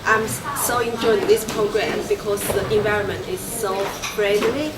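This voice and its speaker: A woman who is not a native English speaker, talking as a programme participant in halting, non-native phrasing. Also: mid-range voice. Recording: distant mic, roomy, loud background noise.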